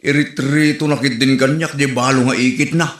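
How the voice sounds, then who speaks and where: A man with an Ilocano accent plays a single son in a comedy skit, complaining and exasperated. His pitch stays in a middling range, and the room around him is echoey. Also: steady tone, moderate pace, fairly bright tone. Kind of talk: comedic dialogue acting.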